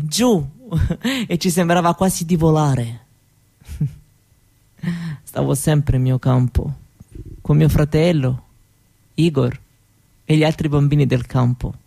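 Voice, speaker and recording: A dreamy young Roma woman speaks at a very unhurried pace with a gravelly edge to her voice. She tells her memories wistfully and haltingly, repeating phrases softly, as in an intimate radio play. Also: low-pitched, exaggerated voice. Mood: fond, nostalgic. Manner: halting, wistful, with soft repetitions. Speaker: actress performing her own monologue. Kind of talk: intimate theatrical monologue for radio.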